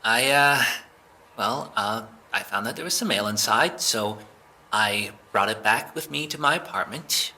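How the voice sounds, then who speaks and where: Guy playing a nervous defensive young suspect in an audio drama, stammery and rambly, really bright edgy voice. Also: fairly low pitch, a little slow, full character voice. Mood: hesitant, explaining. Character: flustered, defensive young male suspect under police interrogation.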